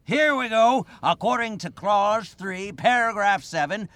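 A man's voice: high voice, animated, a little slow, a bit bright. A man playing a crotchety, officious old veteran ghost in a comic audio drama, with a blustery, theatrical manner.

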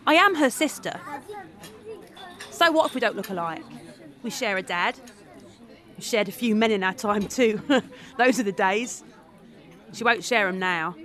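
A woman's voice: mid-range voice, lively intonation, measured pace, pauses often, a bit bright, a faint crowd. A woman playing a brash, tough young woman who narrates, blunt and coarse-tongued. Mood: wry. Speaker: narrator and character. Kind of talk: radio drama acting and narration.

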